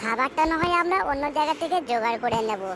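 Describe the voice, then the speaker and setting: Woman voicing a high-pitched little bird chick. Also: lively intonation, rapid-fire, background noise. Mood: eager. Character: young bird chicks, eager siblings pleading with mother. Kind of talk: cartoon character dialogue. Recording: prominent music under the voice.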